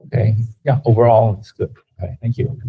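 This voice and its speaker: A man's voice: lowish voice, fairly even intonation, brisk. A man, a class instructor, giving casual, hedging feedback on student work with lots of fillers. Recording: tinny phone-like sound.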